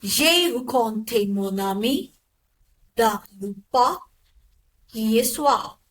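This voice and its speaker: A woman modelling sentences for a language lesson, enunciating each phrase clearly and evenly. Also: a little high, expressive, very slow. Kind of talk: slow model repetitions of sentences.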